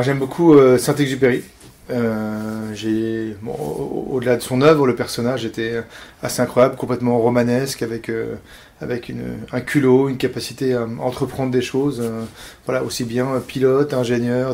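A man in his forties from Paris, speaking as an interview guest and pausing often on fillers and restarts. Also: mid-range voice, gravelly, a little mellow. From Paris, France. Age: 40s. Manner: hesitant, many fillers and restarts. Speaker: interview guest, company founder. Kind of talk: casual interview talk.

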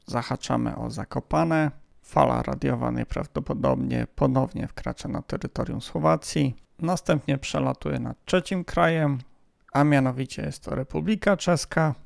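A man narrates an amateur radio vlog from a script. His voice is markedly creaky and gravelly. The sound is dry, with very little room on it. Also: medium pitch, dry recording.

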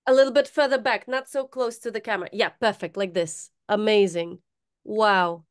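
A woman from southern Ukraine interviewing a guest over a video call, eager and quick to steer him. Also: medium pitch, steady tone, smooth. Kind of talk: conversational interview.

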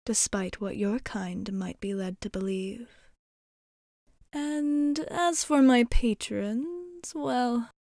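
A poised female vampire bartender, played by a woman, talks in an ASMR roleplay. She takes her time over formal, amused phrases that slip toward a breathy whisper. The mid-pitched voice sits very dry and intimate. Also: a little mellow, dry recording. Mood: knowing, amused, then composed, frank. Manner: measured, formal, teasing, breaking into whispery breathiness.

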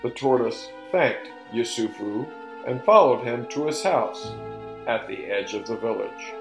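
A middle-aged man narrating a folktale in a deliberate, emphatic, theatrical storyteller's delivery. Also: mid-range voice, animated. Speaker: storyteller and presenter. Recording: roomy echo with music behind.

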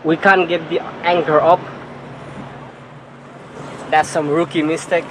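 A man's voice: fairly high pitch, level tone, slow. A young man from Sweden narrating a travel vlog to an action camera on a small open boat at sea, easygoing and direct. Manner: easygoing, talks straight to the camera. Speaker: travel vlogger. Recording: windy outdoor boat audio.

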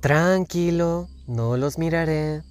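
An actor playing a sly, sarcastic fox rebel leader in a cartoon fan dub, deliberate and mock-polite. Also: mid-range voice, darkish tone, clean tone, lightly acted. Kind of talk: animated series fan dub.

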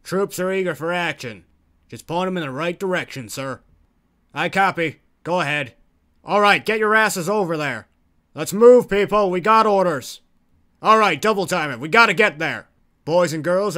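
A man's voice: high voice, moderate pace, sharp tone. A man voicing a hard-driving infantry squad leader in game unit lines, barking salty military orders. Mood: eager, commanding.

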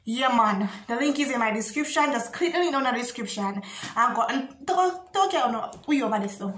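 A woman's voice: on the high side, lively intonation, a little fast, fairly bright tone. Young Jamaican woman, echoey room. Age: young adult. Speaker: video blogger. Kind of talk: casual chat to camera. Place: small bare indoor room.

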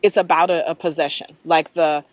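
A middle-aged American woman answering interview questions reflectively, in a thoughtful, halting manner that often checks in with the listener. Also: low-pitched, fairly even intonation, no echo. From North Carolina, US. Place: outdoors in a leafy park.

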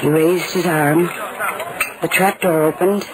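Troubled sister, very noisy old radio. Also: female voice, deep voice, fairly even intonation, a little slow. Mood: troubled, astonished. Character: troubled young sister recounting a nightmare. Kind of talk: 1940s radio horror acting. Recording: very noisy vintage radio recording.

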